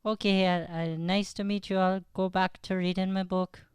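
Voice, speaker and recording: A man's voice: high-pitched, flat delivery, normal speed, clear, resonant tone, no room sound, clean studio sound, natural voice. A man playing a curious, eager young Irish lad in an improvised radio play, speaking hesitantly and earnestly with an Irish accent. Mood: awkward, polite. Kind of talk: improvised old-time radio play acting.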